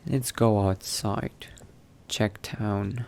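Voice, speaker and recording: A man playing a newly turned vampire in a game, thinking aloud in character in a musing, unhurried manner with trailing phrases. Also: fairly low pitch, fairly even intonation, creaky, darkish tone. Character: newly turned vampire exploring new powers, musing adventurer. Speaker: roleplaying game player. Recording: noticeable background noise.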